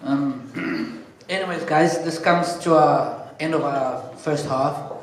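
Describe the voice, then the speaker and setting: A South African man hosting a comedy night from the stage, his voice sitting a little high for a man. He slips in deadpan one-liners between introductions. The sound rings out across a very echoey room. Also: slow pace, slight background noise. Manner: deadpan one-liners, chatty asides to the crowd. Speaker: comedy show MC. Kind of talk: stand-up compering.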